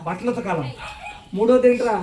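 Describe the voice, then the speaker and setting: A man's voice: very high voice, fairly quick, crowd noise. A man hosting a live children's puppet show, exclaiming, questioning and echoing replies back. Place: indoor church hall. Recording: echoey hall, distant from microphone.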